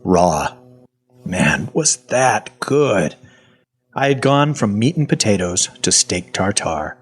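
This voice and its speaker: A man's voice: on the low side, sing-song, takes it slowly, breathy edge, heavily acted. A man playing a sardonic, folksy half-zombie survivor telling his story in an audio drama. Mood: wry, weary. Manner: folksy, colloquial, wry. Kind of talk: first-person audio fiction narration with acted dialogue.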